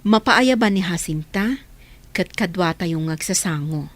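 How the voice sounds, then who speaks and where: A woman's voice: lowish voice, lively intonation, a little slow. A woman playing a firm, reassuring motherly figure, speaking earnestly in radio drama style. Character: firm, reassuring motherly elder of orphaned children. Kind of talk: Filipino radio drama acting.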